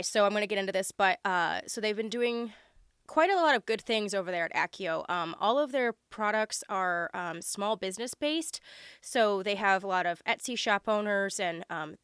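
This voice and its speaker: A young woman with a hoarse, congested voice chats her way through an unboxing, full of ums and little gushes of delight. Under the sickness her voice stays smooth and even. The recording is close and dry. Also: mid-range voice, no echo. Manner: chatty, lots of ums, gushing over items. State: hoarse and congested from being sick. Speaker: unboxing vlogger. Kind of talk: casual unboxing chat.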